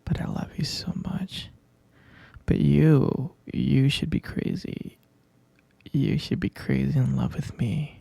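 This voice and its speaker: A man voicing an obsessive, possessive young captor in an ASMR roleplay, whispering softly and calling the listener pet names. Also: mid-range voice, wildly swooping pitch, slow pace, no room sound, heavily acted. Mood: obsessive, doting. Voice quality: gravelly whisper.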